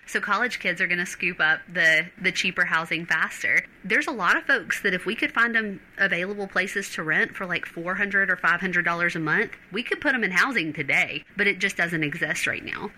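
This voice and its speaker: Earnest woman with a bright voice. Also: fairly low pitch, brisk, hardly any pauses, poor audio. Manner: earnest, conversational, uses "like". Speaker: homeless shelter worker. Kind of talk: news interview soundbite.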